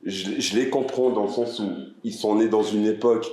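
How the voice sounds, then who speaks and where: A man's voice: lowish voice, steady tone, normal speed, darkish tone. A man in his twenties from Switzerland, a guest telling his story in casual chat, hesitant and full of fillers. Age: 20s. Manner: hesitant, many fillers and restarts. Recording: very echoey room.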